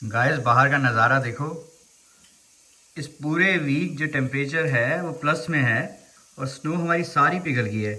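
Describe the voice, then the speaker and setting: A man's voice: medium pitch, a little slow, pushed and bright, poor audio. A man in a family vlog, chatting casually and making dry, teasing remarks. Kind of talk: casual chat.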